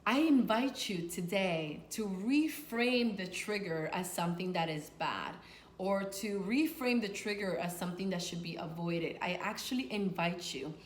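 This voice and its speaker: A Dominican woman from New York, a life coach, gives conversational, earnest advice to camera with informal phrasing and emphasis on key words. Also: fairly low pitch. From Dominican, grew up in New York. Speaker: sex and life coach, video host. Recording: echoey room with faint noise.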